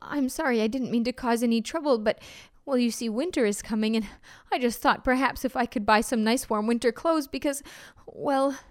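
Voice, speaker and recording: A woman's voice: fairly high pitch, moderate pace, no room sound, studio quality, lightly acted. A woman voicing a polite, apologetic male skeleton ghost who speaks hesitantly in a storybook tale. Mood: sheepish, hesitant.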